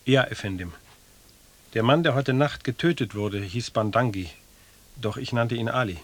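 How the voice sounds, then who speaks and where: An actor in an audio drama playing a patient, stubborn expedition porter who pleads in a plain, deferential but insistent way. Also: male voice, medium pitch, normal speed, lightly acted. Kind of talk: German audio drama acting.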